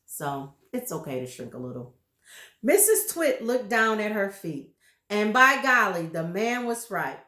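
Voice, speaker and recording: A woman reads a children's book aloud, slow and unhurried. Her voice is lively and fairly high, and the room gives it a noticeable echo. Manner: expressive, pauses to chat about pictures. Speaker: reader. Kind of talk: read-aloud storytelling for children.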